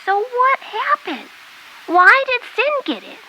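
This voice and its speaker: A woman voicing a weepy baby girl puppet in a puppet show, with a high, squeaky baby voice that wails and whines slowly. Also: sing-song, bright, forceful tone, dull audio, exaggerated voice. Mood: questioning. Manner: wailing, whiny, slow and drawn-out.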